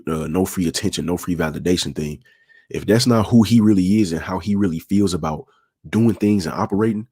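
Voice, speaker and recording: Raspy deep-voiced man, laid-back and smooth. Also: darkish tone. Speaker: livestream host. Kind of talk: casual livestream talk.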